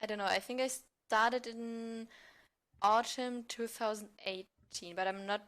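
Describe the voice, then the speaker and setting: A woman's voice: a little high, steady tone, unhurried, fairly bright tone. A woman in her twenties from southern Germany, a native German speaker, chatting casually as an interview guest. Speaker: interview guest, amateur actor. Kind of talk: casual chat.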